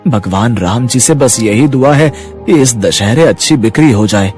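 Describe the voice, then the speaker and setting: A man's voice: medium pitch, expressive, normal speed, a little mellow, faint music behind, lightly acted. A man voicing a worried poor toy seller. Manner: anxious, pleading. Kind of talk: cartoon voice acting.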